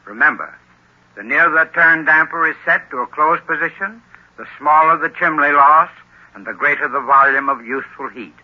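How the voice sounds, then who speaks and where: A man's voice: fairly high pitch, normal speed. A man with an American accent giving formal, instructive, scripted advice in a 1930s radio advertisement style. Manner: formal, instructive, carefully paced phrasing. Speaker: heating expert in a radio advertisement. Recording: muffled old radio broadcast.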